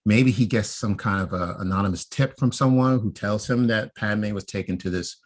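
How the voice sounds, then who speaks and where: A man's voice: fairly low pitch, moderate pace. A man co-hosting a casual podcast, laying out his fan theories and often checking in with "right?". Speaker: podcast co-host.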